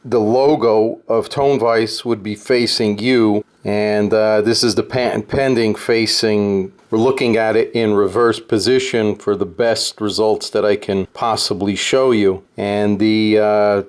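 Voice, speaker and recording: A man hosts a casual hands-on tutorial in an unscripted, blunt way. His pace is very unhurried and his pitch sits in a middle range for a man. He explains things step by step. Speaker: host of a guitar gear tutorial and review.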